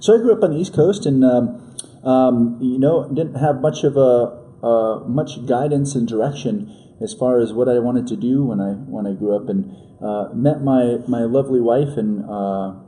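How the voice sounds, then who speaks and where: A man in his forties from New York, a podcast guest who talks in a halting, casual way full of fillers and restarts. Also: medium pitch, mellow. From New York, USA. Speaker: guest entrepreneur.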